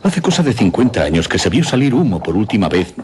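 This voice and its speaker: An actor playing a courteous, sceptical gentleman in a radio drama, politely formal and inquisitive. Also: on the low side, sing-song, fast talker, noisy background. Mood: sympathetic. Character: courteous, sceptical gentleman father, a concerned old friend.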